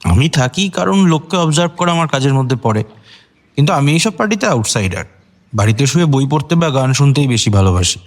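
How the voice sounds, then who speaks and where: An actor playing a young intellectual poet in a radio drama, articulate and self-possessed, mixing in English words. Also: male voice, mid-range voice, lively intonation, fairly quick, lightly acted. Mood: self-assured.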